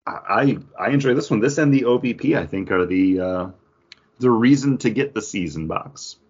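A man's voice: medium pitch, lively intonation, moderate pace, breathy edge. A man co-hosting a podcast, chatting casually and informally. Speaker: podcast co-host. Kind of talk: casual chat about comics and craft beer. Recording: slightly dull, lo-fi podcast audio.

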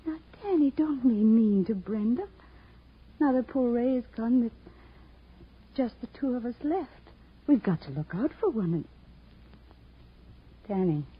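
An actress playing a brassy, scheming widow in 1940s radio crime style, sliding between sugary cooing and sneering. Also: female voice, fairly high pitch, lively intonation, normal speed, long pauses, slightly rough. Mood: frightened, pleading. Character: brassy, scheming gold-digger widow, cooing over her lapdog. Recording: muffled old-time radio recording.

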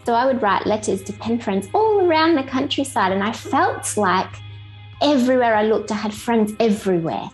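A woman's voice: fairly high pitch, lively intonation, normal speed, faint music behind, low-quality recording. A middle-aged woman with an Australian accent, chatting in an upbeat way as an interview guest. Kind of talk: casual interview chat.

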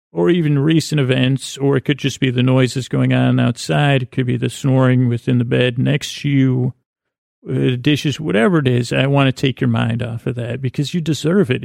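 Creaky-voiced, rambling man. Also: mid-range voice, darkish tone, no room sound, studio quality. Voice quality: mumbling. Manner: meandering, halting, stammering and repeating words; silly, self-deprecating. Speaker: podcast host. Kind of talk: rambling, whimsical bedtime monologue.

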